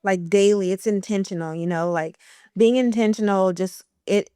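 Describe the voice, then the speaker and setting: A woman in her thirties with a mid-pitched, smooth voice and a light stutter. She talks in an encouraging, filler-heavy way, recorded dry and close to the mic. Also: normal speed, dry recording. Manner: warm encouraging, self-described stutterer, many fillers. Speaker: esthetician. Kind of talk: casual podcast conversation.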